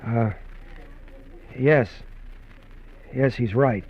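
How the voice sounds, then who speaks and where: An actor playing a frightened, cornered ex-convict, tense and pleading, in a 1950s radio drama. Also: male voice, medium pitch, very slow, a little hiss or hum. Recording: dull lo-fi 1950s radio recording.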